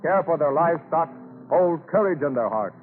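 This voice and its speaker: A man's voice: on the high side, animated, moderate pace. A man narrating a radio drama from a script in dramatic 1940s style. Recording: narrow old radio broadcast with music.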